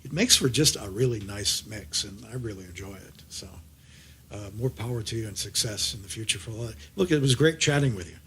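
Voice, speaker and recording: A man with a husky voice hosting a casual interview, speaking effusively with hesitant phrasing. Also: on the low side, animated, fairly quick, fairly bright tone, slight background noise. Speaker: interviewer and show host.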